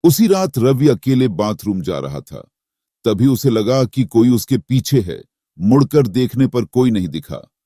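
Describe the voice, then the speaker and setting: Brief: a male horror storyteller with a dark, mellow tone and dry, close sound. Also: mid-range voice, big pitch swings, measured pace, dry recording. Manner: dramatic, suspenseful. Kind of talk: scripted narration.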